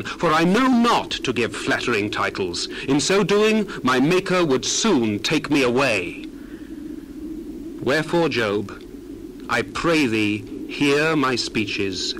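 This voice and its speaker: A man's voice: mid-range voice, a bit bright, slight background noise, poor audio. An actor playing an earnest, indignant young man of ancient times, declaiming solemnly in a dramatized scripture reading. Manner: declamatory, solemn, archaic phrasing.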